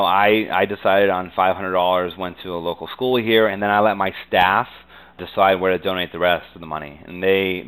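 An American man giving conversational interview answers as a business guest, earnest and halting, often saying "you know.". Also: sits low, fairly bright tone, smooth, low-quality recording.

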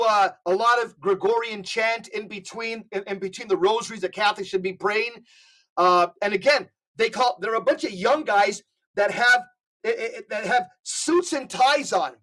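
A man's voice: sits high, a bit bright. A man speaking as a podcast guest, giving impassioned religious talk in an emphatic, exhortatory way and reading out quotations.

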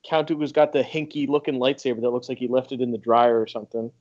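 A man's voice: medium pitch, fairly even intonation, fast, a little mellow, muffled recording. A man guesting on a casual podcast, giving laid-back opinions.

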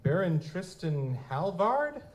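A man's voice: high voice, big pitch swings, takes it slowly, exaggerated voice. A man playing a wry, wisecracking goblin private eye in a hard-boiled noir audio drama. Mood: feigned hesitance. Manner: wry, feigns hesitant politeness. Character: wisecracking male lutin goblin private investigator, narrating noir-style. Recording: noisy live stage recording.

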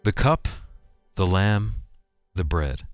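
A man's voice: low voice, lively intonation, slow pace, soft background music. A man working as an announcer, reading a scripted promotional narration for radio.

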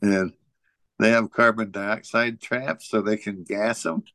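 Male co-host, low pitch, unhurried halting delivery, joking, casual trivia chat, dry sound. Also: steady tone, sharp tone, no room sound. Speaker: podcast co-host.

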